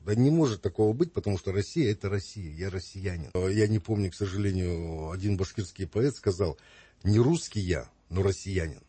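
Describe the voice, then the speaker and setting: Middle-aged male guest, earnest and reflective, dark husky voice. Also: low-pitched, lively intonation, a little fast, no room sound. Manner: earnest, reflective, many hesitations. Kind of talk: earnest conversational opinion.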